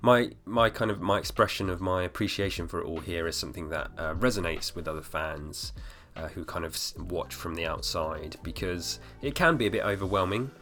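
A man in his thirties hosting a podcast alone, slightly hoarse, rambling with fillers and restarts. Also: sits low, fairly quick, hardly any pauses, fairly bright tone, faint music behind. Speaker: podcast host. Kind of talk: casual solo podcast talk.

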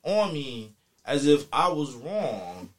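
A man's voice: mid-range voice, big pitch swings, takes it slowly, a crowd in the background. East Coast man telling boastful stories. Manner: slangy, profane, boastful. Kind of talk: casual chat, animated storytelling.

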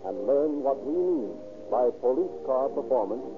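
A man's voice: medium pitch, expressive, normal speed. A man working as a radio announcer, reading a formal, persuasive sponsor message in 1930s advertising style. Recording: tinny old radio recording. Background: music.

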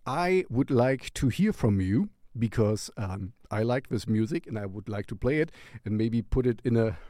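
A man's voice: medium pitch, expressive, mellow, dry recording, clean studio sound. A man hosting a music recommendation show in a casual, rambling, playful and distracted way. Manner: playful, distracted, halting delivery.

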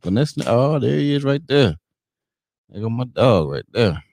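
A man's voice: medium pitch, sing-song, normal speed, gravelly, a little mellow, dry recording. A man hosts a loose, joking chat show, and his voice rises and falls a lot as he riffs. The sound is dry and close. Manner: loose, joking, repeats "you know what I'm saying". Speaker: show host. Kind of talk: casual banter with sung jingle.

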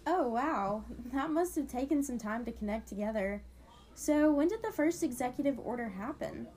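A woman with a somewhat high-pitched voice takes the co-host's part in a scripted educational dialogue. She sounds inquisitive, like someone learning as she goes. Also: expressive, moderate pace, a little mellow, slight background noise. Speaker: podcast co-host.

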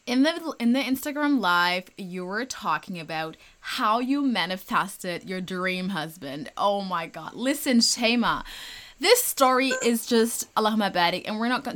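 A woman hosting a casual interview podcast, gushing and excitable as she talks with her guest. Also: mid-range voice. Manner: gushing, excitable, quick laughs. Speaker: podcast host.

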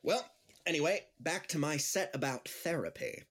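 Animated, teasing young-hearted male friend, acted by a man. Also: on the high side, normal speed, slightly rough. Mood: casual, puzzled. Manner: chatty, animated, playful. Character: upbeat, teasing friend and amateur stand-up comic. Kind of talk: audio drama acting.

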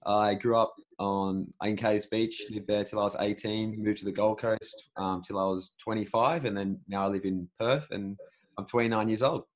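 Deep-voiced aussie guy in his late 20s, laid back, rambly answers. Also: normal speed. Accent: Australian. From Australia. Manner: relaxed, rambling with restarts. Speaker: podcast host, interviewee. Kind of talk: casual interview answers.

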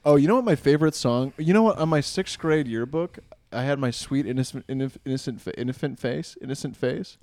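A man's voice: mid-range voice, a little fast, few pauses, darkish tone, no room sound. A young man co-hosting a podcast, slangy and calling everyone dude, breaking into bits of songs. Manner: slangy, says dude a lot, bursts into song snippets. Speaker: podcast co-host. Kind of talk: casual chat.

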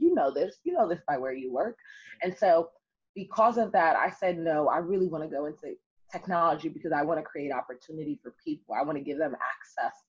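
A young adult woman telling her story as an interview guest, lively and emphatic. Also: deep voice, slightly rough. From United States. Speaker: guest, city councilwoman. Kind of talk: conversational interview. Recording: muffled lo-fi audio.

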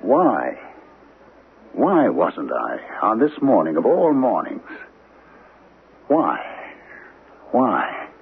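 A man's voice: lowish voice, wildly swooping pitch, drawn-out words, long pauses, breathy edge, full character voice. An actor playing an anguished man haunted by his own survival, brooding and insistent in vintage radio drama style. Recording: muffled old radio recording, music behind.

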